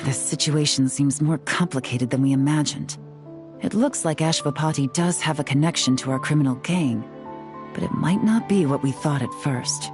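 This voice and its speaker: Woman as composed female investigator, very low pitch, analytical, deliberate, video game, music behind. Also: lightly acted. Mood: pensive.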